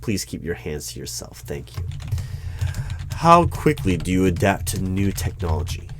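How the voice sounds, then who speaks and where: A man playing a wary, polite hiring manager in a one-sided ASMR interview roleplay, speaking in a hesitant, deadpan way. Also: low-pitched, normal speed, slight background noise. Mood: polite, bemused. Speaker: roleplay performer. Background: computer keyboard typing and clicks.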